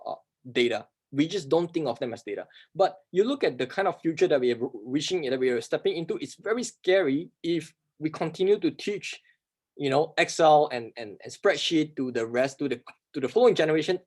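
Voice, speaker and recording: A man who is not a native English speaker answers workshop questions, talking fast and emphatically, often asking and answering his own rhetorical questions. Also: a little high, darkish tone. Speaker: workshop instructor.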